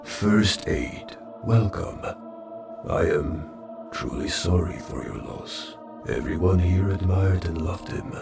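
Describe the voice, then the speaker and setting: Deep gravelly robot boss doctor guy acting it, very formal, roomy and off mic, music under. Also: expressive, distant mic, heavily acted. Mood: solemn. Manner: measured, formal, ceremonious. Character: formal robot senior medical official welcoming new recruits. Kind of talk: audio drama acting.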